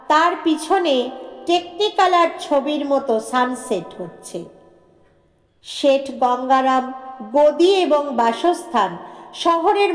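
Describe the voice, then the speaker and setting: Echoey, high-voiced woman, unhurried short story narration. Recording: echoey room with long reverb.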